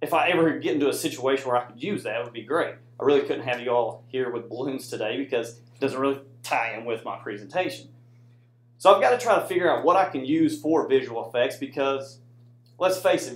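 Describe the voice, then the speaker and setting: A man's voice: medium pitch, slight background noise. A man with an American accent giving an informal presentation to an audience, talking conversationally and often checking in with listeners. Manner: conversational, addresses audience with frequent "right?" tags. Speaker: student presenter, soldier. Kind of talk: informal classroom presentation with slides. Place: indoor room with audience. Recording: echoey room.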